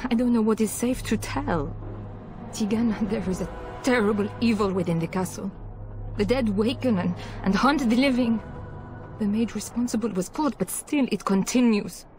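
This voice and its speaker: Actress playing a troubled noblewoman, mid-pitched, pleading, halting formal speech, fantasy game, music behind. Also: close to an everyday voice. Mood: fearful, pleading. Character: anxious, pleading noblewoman, wife and mother.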